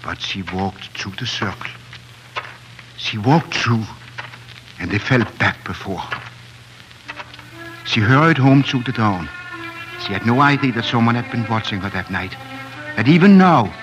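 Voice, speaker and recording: A man's voice: medium pitch, animated, moderate pace, long pauses, low-quality recording. A man narrating a story theatrically and suspensefully in 1940s radio drama style. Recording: old 1940s radio recording with music.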